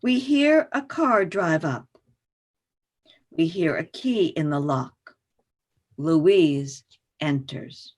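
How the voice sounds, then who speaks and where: Slow-paced woman reading stage directions. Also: sits low, expressive. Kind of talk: scripted narration for a play reading.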